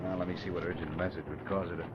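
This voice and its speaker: An actor playing an audacious, smug enemy spy ringleader in old-time radio style. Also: male voice, deep voice, level tone, racing, natural voice. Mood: urgent, secretive. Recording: noisy, dull vintage radio recording.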